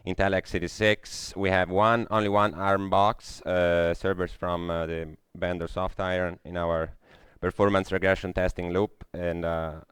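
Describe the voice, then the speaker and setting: A man's voice: sits low, flat delivery, measured pace, hardly any pauses, fairly bright tone, smooth, no echo, clean studio sound. A young Italian man with an Italian accent giving a technical conference talk, hesitant, with many fillers and restarts. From Italy. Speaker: conference speaker. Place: lecture room.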